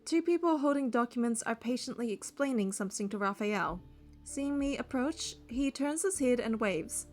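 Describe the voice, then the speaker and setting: Young woman, high voice, expressive, game story narration and dialogue, soft music behind. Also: moderate pace. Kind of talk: reading game narration and heroine dialogue aloud.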